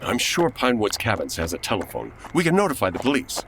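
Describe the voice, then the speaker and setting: A woman's voice: very deep voice, huge pitch swings, brisk, slight background noise, heavily acted, poor audio. A woman playing an exasperated, fussy radio sponsor man, dry and indignant, in a comedy audio drama. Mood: dry, irritated.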